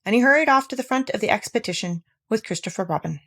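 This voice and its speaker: Woman narrator, children's classic, gentle even read-aloud. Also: fairly high pitch, quick pace. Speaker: reader. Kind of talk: storybook reading aloud.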